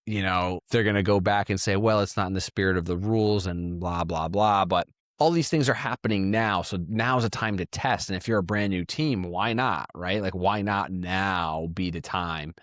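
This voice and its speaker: Deep-voiced man with Italian roots, dry sound. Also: normal speed, no echo. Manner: animated, thinks aloud with restarts. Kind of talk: casual chat.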